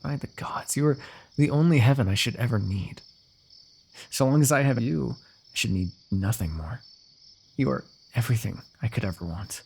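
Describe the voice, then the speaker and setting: A man playing a lovestruck, eloquent fantasy royal in a romantic ASMR roleplay, talking intimately and poetically with gentle teasing. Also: medium pitch, moderate pace, gravelly, breathy edge. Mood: breathless, adoring. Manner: intimate, poetic, talkative, softly amused.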